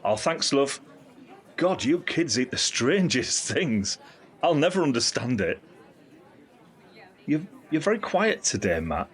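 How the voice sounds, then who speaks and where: An actor playing a candid, caring British uncle in his fifties in an audio drama, with a husky voice. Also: medium pitch, sing-song, slow pace, long pauses. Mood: teasing, concerned. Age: 50s. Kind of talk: audio drama acting, conversational monologue.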